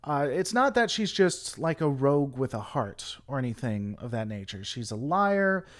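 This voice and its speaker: A man's voice: medium pitch, very animated, moderate pace. A man hosting a casual livestream, rambling through an opinionated critique and answering chat. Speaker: livestream host.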